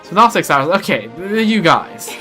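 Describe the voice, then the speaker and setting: A man's voice: high-pitched, sing-song, moderate pace, music in the background. A young man chatting casually while playing a game on a livestream, rambling with lots of restarts and self-deprecating asides. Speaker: streamer. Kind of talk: casual gameplay livestream chat.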